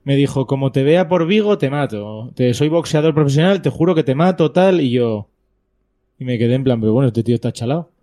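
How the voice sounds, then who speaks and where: Relaxed, hesitant Spanish-speaking man. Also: mid-range voice, a little fast. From Spain. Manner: relaxed, hesitant, many fillers. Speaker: guest. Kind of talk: casual podcast chat.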